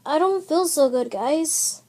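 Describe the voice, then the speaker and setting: A woman's voice: high voice, lively intonation, darkish tone, full character voice. A woman voicing a sick young girl who keeps sneezing, in a fan-made skit. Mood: unwell. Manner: slow, sniffly, sneezing often. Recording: music playing behind.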